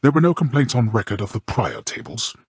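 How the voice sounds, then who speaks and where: A man playing a stern, scornful god-king of the underworld in a video game, speaking in a formal, haughty, measured way with a gravelly, husky voice. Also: mid-range voice, sing-song, dry recording, studio quality. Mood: scornful, irritated. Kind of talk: theatrical video game character dialogue.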